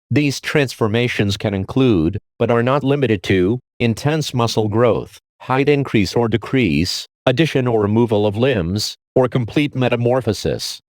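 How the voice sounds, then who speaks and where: A man performs as an emergency alert announcer in an audio drama. The delivery is measured, impersonal and grave, in a middle-pitched voice with a dry, studio-like sound. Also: no echo, natural voice. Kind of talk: scripted emergency broadcast announcement.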